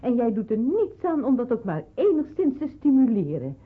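A woman's voice: a little high, very animated, moderate pace. An actress playing a worried, reproachful wife and mother in a radio drama. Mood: dry, reproachful. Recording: tinny band-limited old radio play, background noise.

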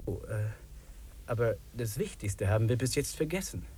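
An actor playing an eager wilderness hiker with an animated manner in a 1950s German radio drama. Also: male voice, medium pitch, moderate pace, breathy edge. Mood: enthusiastic.

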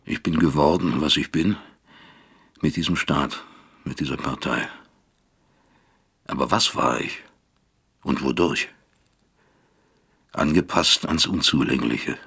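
A middle-aged father weighed down by guilt in a radio drama monologue. His voice is extremely deep, with heavy fry and a husky rasp. He broods bitterly, questioning himself in halting phrases. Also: fairly bright tone, exaggerated voice. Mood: self-accusing. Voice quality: gravelly, husky.

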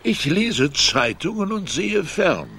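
Radio play casting: gruff, dismissive older man, retired guard, very lively intonation, faint noise behind. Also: sits high, slow pace, heavily acted. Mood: grumpy, dismissive. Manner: brusque, grumbling, dismissive. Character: gruff retired old security guard with a dog. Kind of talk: radio drama dialogue.

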